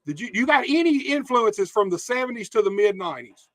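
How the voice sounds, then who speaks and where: A man's voice: sits high, sing-song, brisk, no room sound. A man hosting a wrestling podcast, reading viewer comments and bluntly challenging his guest. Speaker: podcast host. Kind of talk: casual interview.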